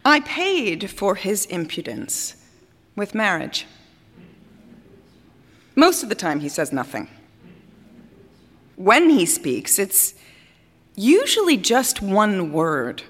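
An actress playing a vain, capricious opera singer in a theatrical monologue, speaking grandly with dramatic pauses, both scornful and adoring. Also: female voice, medium pitch, huge pitch swings, exaggerated voice. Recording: reverberant hall stage recording.

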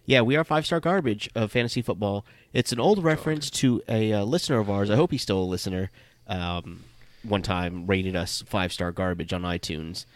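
A man with a very deep voice co-hosts a podcast, sounding stuffy as he gets over a cold. He rambles and halts, full of ums and uhs. The sound is close and dry. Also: no echo. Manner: rambling, halting, many fillers. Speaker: podcast co-host. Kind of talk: casual chat.

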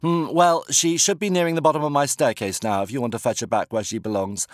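A man's voice: medium pitch, fairly even intonation, fast talker, no echo, voice pushed up, close to an everyday voice. A man playing a brusque, sardonic woman doctor in an audiobook novel, curt and dry. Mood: crisp, irritated.

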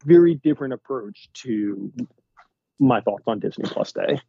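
A man's voice: fairly low pitch, expressive, unhurried, gravelly, breathy edge, dry recording, dull audio. A man co-hosting a podcast, musing and wondering aloud in casual chat. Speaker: podcast co-host.

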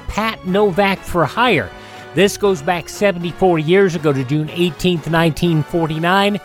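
Man hosting radio, higher-pitched and close. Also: dry recording. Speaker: radio show host. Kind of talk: scripted on-air narration of history facts and show introductions.

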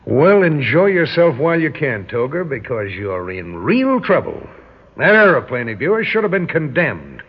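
A man's voice: mid-range voice, sing-song, moderate pace, breathy edge. An actor playing a scornful, blustering male passenger in a sarcastic, indignant manner, in 1960s radio drama style. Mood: scornful, threatening. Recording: dull 1960s radio recording.

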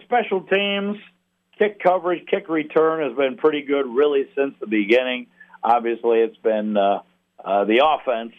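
A man heard over a thin, phone-like radio line, giving sports analysis as a guest announcer. His pitch sits mid-range, and he talks haltingly, pausing in the middle of thoughts. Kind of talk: sports interview, analytical talk.

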